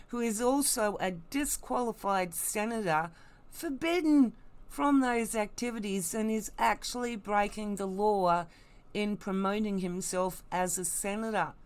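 Blunt woman commentator. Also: medium pitch. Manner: blunt, emphatic, mocking. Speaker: online commentator. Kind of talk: opinionated unscripted commentary.

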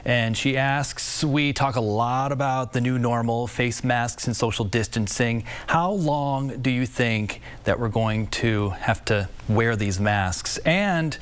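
A man's voice: mid-range voice, takes it slowly, hardly any pauses, clear, resonant tone. A male news anchor moderating a town hall from a TV studio, reading viewer questions conversationally.

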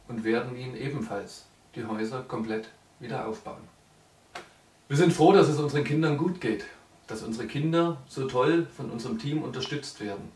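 A man in his thirties delivers a prepared update to charity supporters. His voice is gravelly and earnest, phrased in short segments. He is recorded from a distance in a room with clear echo. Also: mid-range voice. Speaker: charity representative giving a project update. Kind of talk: prepared spoken report to supporters. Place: small indoor room, seated before camera. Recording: roomy echo, distant microphone.

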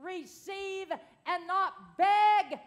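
An older woman minister preaching a prophetic word in a church meeting room, with arms raised and rising to shouts. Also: very high voice, drawn-out words.

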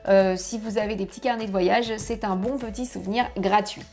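Woman in her thirties, travel vlogger, chatty and animated, lapel mic, home office, soft music underneath. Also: mid-range voice, a little fast. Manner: lively, chatty, gesturing with hands. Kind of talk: travel tips vlog to camera. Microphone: clip-on lapel mic.

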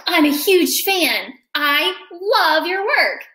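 Woman voicing a high-voiced, admiring scrap of paper. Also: animated, slow, pushed and bright, reverberant, exaggerated voice. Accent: American. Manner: admiring, encouraging. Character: friendly, admiring crumpled first-draft paper. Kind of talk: children's storybook character reading.

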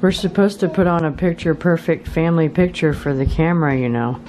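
A woman's voice: low voice, a little fast, loud music behind the voice. A woman talking casually to young children in sing-song baby talk, as a mother filming at home. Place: indoors in a home kitchen and dining area.

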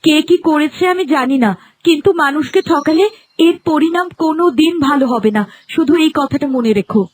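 A woman's voice: high-pitched, brisk, natural voice. A woman playing a stern, principled village wife in a cartoon moral story, reproachful and moralising. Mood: scolding. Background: background music.

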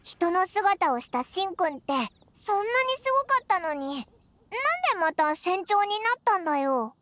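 Tiny game fairy sidekick: very high, piercingly bright, cheeky and excitable, brash boyish talk. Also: female voice, moderate pace. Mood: curious, puzzled. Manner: boyish, brash, rough casual speech. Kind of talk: animated video game dub acting.

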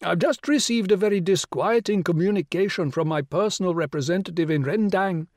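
A man's voice: darkish tone, no room sound, studio quality. A man voicing an imperious royal older woman on the phone, rich and emphatic, dwelling on words. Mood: urgent. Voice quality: rich, emphatic, high put-on voice. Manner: grand, insistent, drawn-out words. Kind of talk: audiobook character voice.

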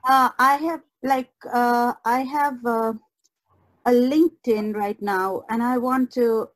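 A woman with a lightly high-pitched voice talks reflectively as a podcast guest. Her delivery is very slow and halting, often pausing as she searches for the right word. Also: fairly even intonation, rough recording. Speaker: guest artist. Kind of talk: reflective podcast conversation over video call. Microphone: video call.

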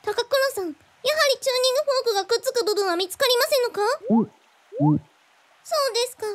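Very high-pitched, quaintly polite little girl. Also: expressive, fast, fairly bright tone. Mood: earnest, consoling. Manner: quaint, very formal, archaic polite phrasing. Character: polite, precocious genius little girl with old-fashioned speech. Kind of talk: Japanese radio drama acting.

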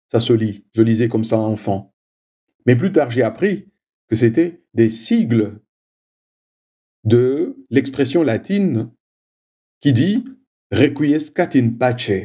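Male preacher, Swahili native, husky voice, mellow tone, measured prayerful homily. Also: medium pitch. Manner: slow, measured, with frequent "hein" asides.

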